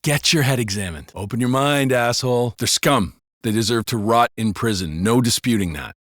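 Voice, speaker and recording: A man's voice: medium pitch, moderate pace, fairly bright tone, no echo, clean studio sound, close to an everyday voice. A man voicing a gossiping, foul-mouthed American cop in a video game, talking in a colloquial, sardonic way. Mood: bantering, argumentative, then casual. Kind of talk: casual scripted banter for a video game.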